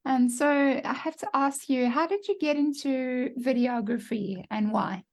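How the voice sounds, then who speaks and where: A woman hosts an interview with an upbeat, encouraging manner. Her voice sits a little higher than most. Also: measured pace. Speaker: podcast host. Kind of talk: friendly interview hosting.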